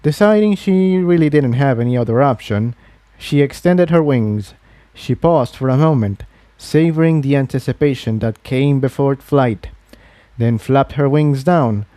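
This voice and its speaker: A man's voice: medium pitch, a little slow, warm tone, dry recording. A man reading a fantasy adventure story aloud as its narrator. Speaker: fan fiction narrator.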